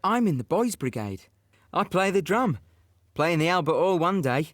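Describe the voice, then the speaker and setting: Chirpy high-voiced young London soldier. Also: male voice, very animated, moderate pace, a bit bright, dry recording, clean studio sound. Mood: amused, fond. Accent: South London. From Bermondsey, London. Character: chirpy young working-class London infantryman. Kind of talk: audio play monologue, conversational reminiscence.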